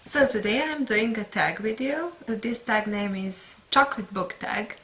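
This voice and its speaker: A young woman with a Serbian accent, a book vlogger talking casually through a tag video, unhurried and pausing often with fillers. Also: medium pitch, expressive, pushed and bright. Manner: unhurried, pauses with many "uh" fillers.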